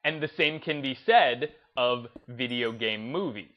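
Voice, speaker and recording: A man speaks at a markedly slow, deliberate pace with a medium pitch. He gives opinionated, off-the-cuff commentary like a gaming video host, landing his points emphatically. The recording is slightly dull and lo-fi. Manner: emphatic, deliberate, stressing points with short declarations.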